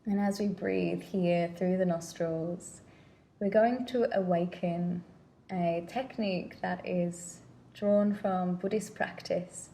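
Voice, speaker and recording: Female meditation guide: lowish, gravelly-edged voice, very slow gentle delivery, soothing instruction. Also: a little hiss or hum. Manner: gentle, unhurried, pausing between phrases.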